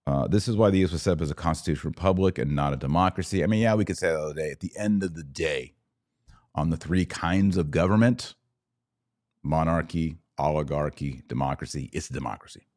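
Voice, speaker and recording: A man's voice: rumbling low, quick pace, darkish tone. An American man hosting live religious and political commentary, speaking in an emphatic, preachy yet conversational way. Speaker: podcast host and religious commentator.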